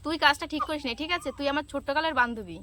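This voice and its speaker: Very fast-talking high-pitched woman. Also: pushed and bright. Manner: accusing, reproachful. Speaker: vlogger making a prank call. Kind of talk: informal phone argument in a staged prank.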